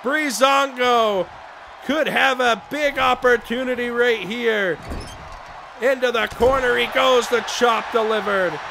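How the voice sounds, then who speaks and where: Hoarse, gravelly man calling wrestling. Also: very high voice, slow. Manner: shouting excitedly over the action. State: losing his voice. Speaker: play-by-play commentator for a wrestling video game. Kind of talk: excited wrestling play-by-play commentary. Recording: game crowd noise behind voice. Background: video game arena crowd and sound effects.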